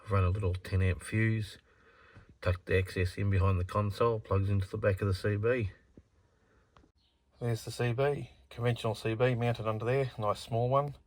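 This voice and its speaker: A man's voice: lowish voice, fairly even intonation, moderate pace. A man presenting a hands-on DIY how-to in a plain, matter-of-fact, step-by-step way.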